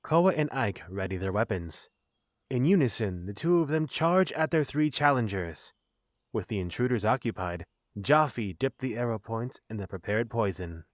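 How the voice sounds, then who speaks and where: A man narrates a scripted fantasy audio drama. His voice sits at an average pitch, and the recording is dry, with very little room sound. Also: lively intonation, moderate pace, dry recording.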